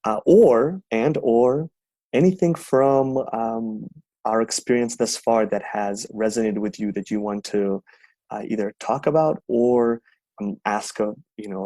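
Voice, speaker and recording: A man's voice: lowish voice, mellow, no room sound. A man moderating a video call, talking casually with many fillers and restarts. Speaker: event co-host, moderator.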